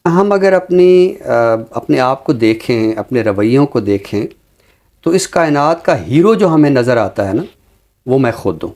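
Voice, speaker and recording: Measured man giving reflective social commentary. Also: mid-range voice, big pitch swings, darkish tone. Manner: measured, deliberate, explanatory; earnest, literary vocabulary. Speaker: talk show presenter and commentator on society and literature. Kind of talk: reflective spoken commentary in a talk show.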